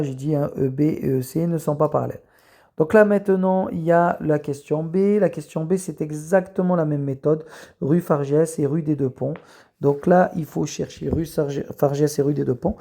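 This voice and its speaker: A math teacher walks students through a correction, step by step, with a gravelly, mellow voice. The talk is filled with hesitations and restarts, and it slows down whenever the teacher writes. It is recorded on a camera aimed at the page. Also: medium pitch. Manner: explains step by step with many fillers; slows down to write while talking. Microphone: camera filming a written page.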